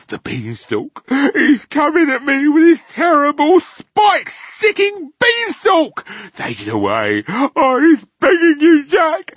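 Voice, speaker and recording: Shrieking, terrified brute of a giant. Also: male voice, extremely high pitch, a bit bright, no echo, pitched above the performer's own voice, heavily acted. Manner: wailing, pleading, drawn-out shrieks. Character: terrified flesh-eating giant, male, screeching, begging and blustering. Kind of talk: dramatised children's storybook reading.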